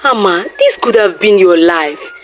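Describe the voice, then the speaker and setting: A woman's voice: mid-range voice, animated, measured pace, faint music behind, voice pushed down, natural voice. A woman voicing a haughty, jealous, scheming young rich woman in a folktale, sarcastic and scornful. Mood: pained, bitter. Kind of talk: dramatized folktale storytelling.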